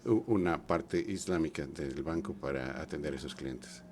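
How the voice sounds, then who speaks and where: A man with a very deep voice interprets a conference panel on the fly. He halts, restarts and fills gaps with short sounds. The murmur of an audience is clearly heard behind him. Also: crowd noise. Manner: halting, many fillers and restarts. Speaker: simultaneous interpreter. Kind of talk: live Spanish interpretation of a panel.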